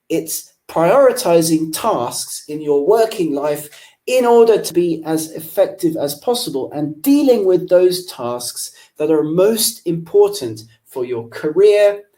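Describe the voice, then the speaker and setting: A man from England whose English accent carries a faint Irish lilt, talking through a webinar from his home office. His voice is mellow and rather dark, and he addresses listeners directly in a friendly, explanatory manner. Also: on the high side. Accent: English with a slight Irish lilt. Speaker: webinar presenter. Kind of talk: live webinar presentation.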